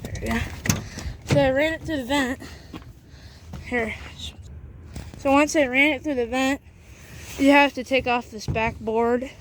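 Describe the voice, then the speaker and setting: A woman giving a casual, halting how-to walkthrough in and around a pickup truck, leaning on fillers and repeating herself as she explains. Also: high voice, steady tone, noisy background. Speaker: video host showing a vehicle setup.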